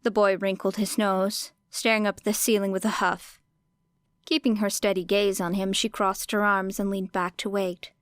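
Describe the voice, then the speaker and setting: A woman's voice: medium pitch, level tone, normal speed, smooth, no room sound. A woman reading scripted fiction as an audiobook narrator.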